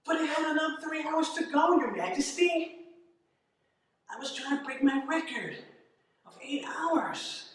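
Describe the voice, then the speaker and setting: An actor voicing a whiny young male court dancer who pleads in a breathy, whining way. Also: extremely high pitch, animated, unhurried, pauses often, fairly bright tone, exaggerated voice. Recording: echoey room with faint music.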